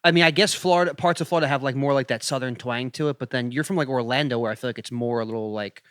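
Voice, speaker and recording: A man's voice: mid-range voice, a bit bright, clean tone, dry recording, studio quality. A man with a New Jersey accent, a comedian guest on a podcast, rambling through casual chat. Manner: quick, rambling, lots of "like".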